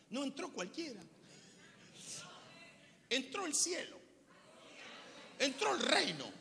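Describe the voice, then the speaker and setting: A pastor in his fifties preaching a sermon to a congregation, throwing questions at them and swinging from loud bursts to quiet, low lines. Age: 50s. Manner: preaching with call-and-response questions, swinging from shouts to low tones. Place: large church hall. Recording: church hall reverb, faint noise.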